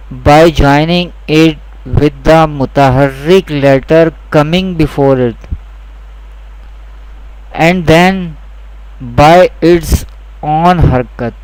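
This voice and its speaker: Slow, clear-voiced male teacher. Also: on the high side, a little mellow, no echo, low-quality recording. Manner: deliberate, repeats each point twice. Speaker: Quran teacher. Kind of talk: slow, repetitive instructional lesson.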